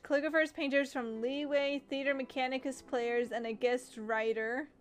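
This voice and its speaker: Young woman streamer, high voice, excitable, rambling live commentary. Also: moderate pace. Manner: chatty, excitable, thinks aloud. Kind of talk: casual gameplay commentary.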